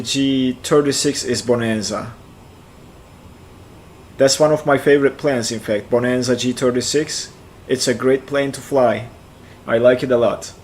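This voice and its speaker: A man in his forties with a Turkish accent, a native Turkish speaker, talking in a relaxed live-stream way. He reads out chat and answers it, thinking aloud as he goes. His voice sits in a middling pitch. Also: a little hiss or hum. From central Turkey. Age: 40s. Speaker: flight sim streamer.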